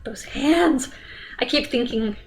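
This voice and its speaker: A woman with a fairly high voice gives casual reaction commentary. She wanders from thought to thought, apologizes often and breaks into small laughs. Faint music plays underneath. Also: expressive, takes it slowly. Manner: rambling, apologetic, with laughing asides. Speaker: reaction video host. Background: faint music playing.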